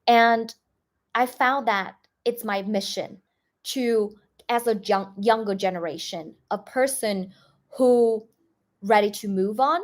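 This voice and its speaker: A woman's voice: on the high side, takes it slowly. A young woman from northern Vietnam with a Northern Vietnamese accent, speaking English as a second language and telling stories casually on a livestream, full of "like" fillers and restarts. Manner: chatty, many "like" fillers and restarts. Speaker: YouTuber.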